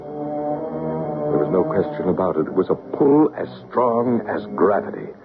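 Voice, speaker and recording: A solemn seance leader speaks in a low, measured, hushed tone. The 1970s radio drama sounds thin and tinny, with faint music behind him. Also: male voice, sing-song, creaky, exaggerated voice. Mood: narrating. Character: calm man leading a seance, narrating.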